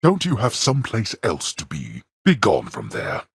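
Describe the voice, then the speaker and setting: Imperious male underworld lord, game voice lines, very low and husky, dry studio sound. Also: huge pitch swings, moderate pace, fairly bright tone, no echo, full character voice. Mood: irritated. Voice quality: very husky, gravelly. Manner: cold, disdainful, formal. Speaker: voice actor.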